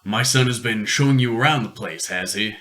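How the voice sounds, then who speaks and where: A man playing a rich, sardonic industrialist in a radio play, measured, formal and a little pompous. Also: on the low side, fairly bright tone, lightly acted. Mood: genial. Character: rich, worldly, sardonic industrialist, booming and indulgent. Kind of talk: amateur radio play acting.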